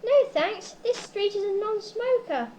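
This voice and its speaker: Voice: extremely high pitch, animated, a little slow, darkish tone, a little hiss or hum. An amateur performer voicing an earnest, gullible heroic engine driver and narrator in a declamatory comic radio-play reading, on old cassette tape. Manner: theatrical, declamatory.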